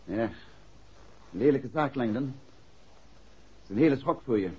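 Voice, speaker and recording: Casting: unflappable, polite sleuth in 1960s radio serial; slightly rough voice, muffled old recording. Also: male voice, medium pitch, lively intonation, measured pace, warm tone. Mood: businesslike. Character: composed, courteous male amateur detective, briskly businesslike. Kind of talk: 1960s radio play acting.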